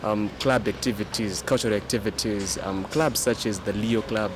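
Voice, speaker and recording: A man's voice: on the low side, steady tone, normal speed. An eighteen-year-old man with a Zimbabwean accent, a new student giving informal interview answers. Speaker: new sixth-form student being interviewed. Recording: clear outdoor background noise.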